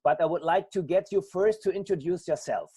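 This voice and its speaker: Husky high-voiced man interviewing guests. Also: dark tone. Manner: friendly, halting questions with restarts. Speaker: podcast host interviewing a band. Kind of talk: casual interview.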